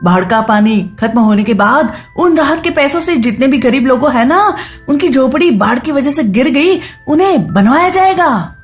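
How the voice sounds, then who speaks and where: A woman voicing a helpful hospital worker who explains things briskly and matter-of-factly in a moral story. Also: high voice, expressive, soft background music. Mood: informative.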